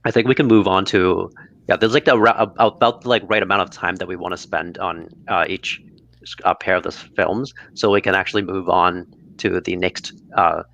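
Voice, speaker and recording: A man with a very low, gravelly voice that keeps dropping into fry. He moderates a relaxed online discussion, pausing often and restarting his words. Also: no room sound. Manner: hesitant, filler-heavy, restarts words.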